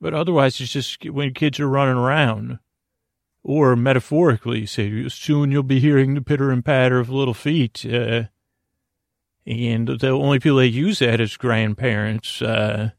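A man with a lot of fry in his voice hosts a sleep podcast. He rambles haltingly, circling back on words and wandering into whimsical tangents. The sound is very dry, with no room around it. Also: mid-range voice, dry recording. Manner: halting, meandering, repetitive, drifting into whimsical asides. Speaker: podcast host. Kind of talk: rambling bedtime podcast monologue.

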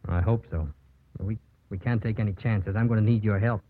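An actor playing an earnest, protective lawyer in a 1950s radio drama, gentle but insistent. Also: male voice, lowish voice, steady tone, fairly quick, slightly rough, dry recording. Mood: tender, urgent. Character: earnest, protective male defense lawyer in love. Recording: muffled old 1950s radio broadcast.

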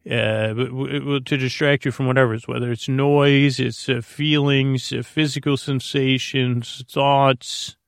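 A man with a creaky voice hosting a sleep podcast, rambling soothingly and haltingly in a friendly bedtime monologue, repeating himself and trailing off mid-thought. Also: mid-range voice, fairly even intonation, no room sound, clean studio sound. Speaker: podcast host.

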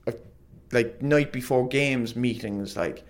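A man's voice: medium pitch, slow. A young man, a former footballer, telling rambling stories in a casual interview, peppered with "like" and laughs. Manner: rambling, lots of "like", laughs often.

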